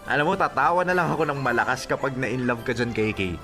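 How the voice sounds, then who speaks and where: An actor with a Filipino accent playing an easygoing young office buddy in a radio drama, teasing and coaxing. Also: male voice, medium pitch, lively intonation, fast, fairly bright tone. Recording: music bed underneath.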